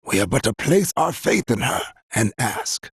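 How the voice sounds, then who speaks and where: A man playing a solemn, devout priest in a video game, praying in a measured, reverent way. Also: mid-range voice, very animated, fairly bright tone, husky, no echo, studio quality, full character voice. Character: solemn, devout male priest of planet worship.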